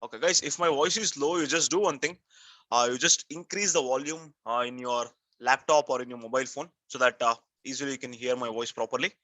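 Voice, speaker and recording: Indian male online instructor, mid-pitched and bright, dry recording, emphatic and repetitive, checks in with learners often. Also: a little fast, no room sound. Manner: repetitive, prompting listeners with frequent questions. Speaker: software testing instructor. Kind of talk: live online lecture, checking in with students.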